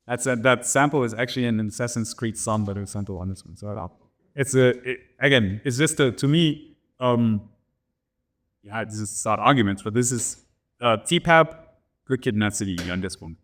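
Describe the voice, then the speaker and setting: A man with a faint German accent talks about records as a guest in a relaxed interview. He rambles, restarts his sentences and keeps checking in with the listener. His voice sits at an ordinary middle pitch. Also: moderate pace. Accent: slight German. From Germany and Namibia. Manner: rambling, many restarts and "right?" tags. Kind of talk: casual interview storytelling.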